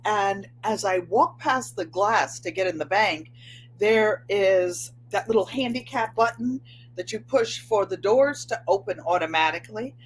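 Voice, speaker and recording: A woman in her seventies with a New England accent, slightly nasal and twangy, chatting excitedly to viewers as host of her livestream from a room at home. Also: medium pitch, measured pace, slight background noise. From Connecticut, USA. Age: 70s. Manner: chatty, excitable, gestures with hands. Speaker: channel host. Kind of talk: casual livestream chat.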